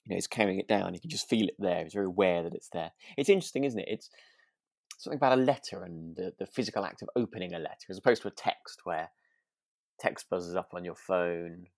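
Male co-host, low voice, dry close sound, thoughtful and a little tentative in casual discussion. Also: fast, gravelly, dry recording. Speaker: podcast host. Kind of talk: casual chat reviewing a radio drama.

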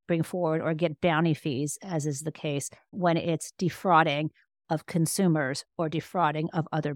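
A woman with a fairly low voice, recorded dry and close to the room-free side. She explains things as an expert guest in a podcast interview. Her delivery is conversational and emphatic, and she rephrases as she goes. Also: no room sound. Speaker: interview guest, law professor and author.

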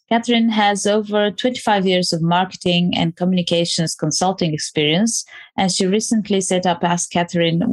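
A woman hosting a podcast who reads a scripted welcome and then chats, quick to laugh along. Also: on the low side. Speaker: podcast host. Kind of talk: scripted intro, then casual interview chat.

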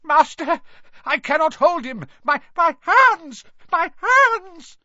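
A man's voice: sits high, expressive, measured pace, sharp tone, no echo, pitched above the performer's own voice, heavily acted. A man voicing a timid, frightened male servant in an audiobook, pleading and panicked, in a slightly dull lo-fi recording.

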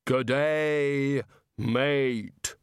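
The grim reaper in an audiobook, polite, measured, implacable, altered timbre, close dry sound. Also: male voice, medium pitch, clear, resonant tone, dry recording, voice pushed up. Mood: polite, unbothered.

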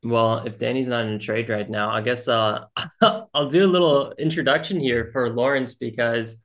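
Guy hosting a podcast, mid pitch, chill, kinda self-deprecating, lots of uhs. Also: normal speed. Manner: relaxed, self-deprecating, hesitant fillers. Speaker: podcast host. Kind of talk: casual chat.

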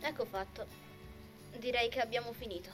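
A woman playing a considerate, laid-back young friend in an amateur anime dub, gentle and understated. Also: fairly high pitch, fairly even intonation, a little fast, music in the background, lightly acted. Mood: calm, considerate.

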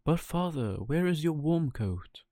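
A man voicing an eager, innocent wooden puppet boy in a bright, childlike way for a bedtime story. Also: medium pitch, expressive, unhurried, no room sound, clean studio sound, pitched above the performer's own voice.

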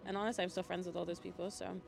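A woman's voice: fairly low pitch, flat delivery, rapid-fire, gravelly, a little mellow. Young woman chatting casually over music. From Europe, mainly Switzerland, Belgium and Scandinavia. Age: young adult. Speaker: podcast guest. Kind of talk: casual conversational storytelling.

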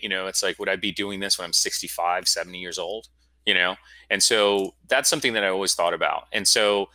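A man's voice: low-pitched, bright, forceful tone, no room sound. A man in his forties from Florida, a guest telling his story in a casual, rambling interview. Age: 40s. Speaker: guest, school founder.

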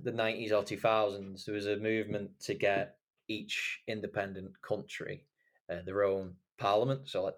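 A young man from England hosts a relaxed podcast conversation, his voice sitting on the low side. He rambles as he talks, filling gaps with ums and likes and restarting his sentences. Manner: rambling, many fillers and restarts. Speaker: podcast host. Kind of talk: casual chat.

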